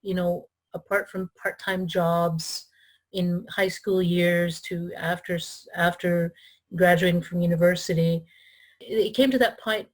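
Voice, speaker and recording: A woman's voice: fairly low pitch, fairly even intonation, unhurried. A middle-aged woman from the Philippines, raised in Canada, chatting as an interview guest with frequent restarts. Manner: chatty, with restarts and light laughs. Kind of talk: casual interview talk.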